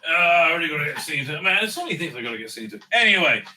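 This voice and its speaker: A man's voice: fairly high pitch, very animated, very fast, sharp tone. A man giving casual, unscripted online commentary, sweary, sarcastic and exasperated in manner. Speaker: online commentator reviewing audio drama releases.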